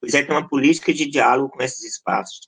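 A middle-aged Brazilian man speaks at an ordinary pitch for a man. He answers interview questions earnestly and passionately, building emphatic lists and often restarting his phrases. He talks as both a pastor and a social scientist. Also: fast, a bit bright, breathy edge, rough recording. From Brazil, born in Maranhão and raised in Goiás.

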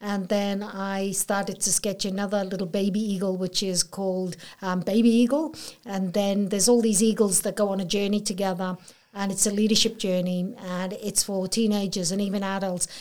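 A woman's voice: mid-range voice, little pitch movement, moderate pace, darkish tone. A middle-aged woman with a Welsh accent, a council chief executive interviewed as a guest, talking earnestly in a motivational way. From Wales. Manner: earnest, motivational, speaks in full stories. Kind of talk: casual interview.

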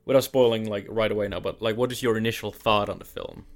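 Norwegian guy cohosting a film podcast, lowish voice, stumbles and laughs a lot. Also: steady tone. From Norway. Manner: halting, many restarts and laughs. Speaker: podcast co-host. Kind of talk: casual film review chat.

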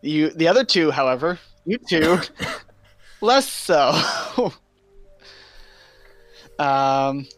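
Slow-talking male game master. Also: on the high side, lively intonation, no room sound, faint music behind. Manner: drawn-out narration, frequent laughter. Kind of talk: narrating a tabletop game.

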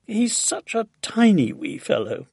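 A man voicing a sweet, gentle, excitable woman from a storybook who dotes on her pet, in a gushing, pleading manner. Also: high-pitched, measured pace, creaky, husky, dry recording, studio quality, pitched above the performer's own voice, heavily acted. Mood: fretful, pleading. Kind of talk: storybook character voice.

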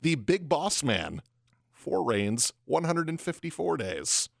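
A man's voice: a little high, sing-song, slow, gravelly, no echo, studio quality. A man co-hosting a wrestling podcast in casual chat, rambling through trivia in a wry tone. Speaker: podcast co-host. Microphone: studio microphone.

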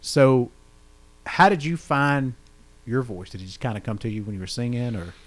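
A man's voice: medium pitch, expressive, normal speed. A man with a growly voice, hosting a casual interview and chatting in a rambling way. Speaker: podcast host.

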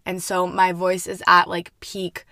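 A young woman hosting a casual book review podcast, her voice hoarse and gravelly from overuse, rambling chattily with casual swearing. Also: on the low side, completely flat delivery, unhurried, sharp tone, no room sound, clean studio sound. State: hoarse, worn-out voice. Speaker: podcast host.

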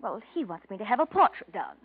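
An actress playing a pleading, scheming young wife in a 1940s radio drama, in a soft, breathy voice on a dull old recording. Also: female voice, medium pitch, big pitch swings, fast talker.

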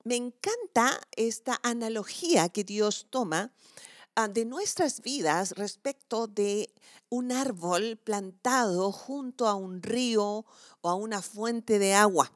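Female devotional preacher. Animated pitch, reflective and emphatic delivery, conversational sermon style, dry sound. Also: takes it slowly, no room sound. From southern Chile.

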